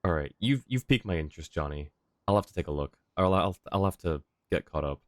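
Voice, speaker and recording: A man with a low voice, streaming a game and chatting with viewers. He is easygoing and polite, thinks aloud, and is recorded close and dry. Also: animated, fast, no echo. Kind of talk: casual livestream chat.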